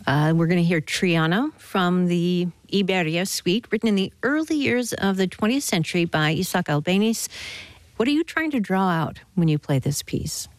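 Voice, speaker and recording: A woman's voice: fairly low pitch, animated, moderate pace, no room sound, rough recording. A woman radio host presenting and interviewing a musician in a scripted, on-air style.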